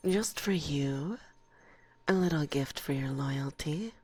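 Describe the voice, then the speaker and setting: A woman acts out a long-lived forest witch in an ASMR roleplay, teasing and condescending as a mistress to an apprentice. The voice is low and breathy, often dropping into a whisper. Each phrase comes slowly and deliberately, very close on a binaural microphone. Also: a little mellow, no echo, heavily acted. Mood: coaxing, playful.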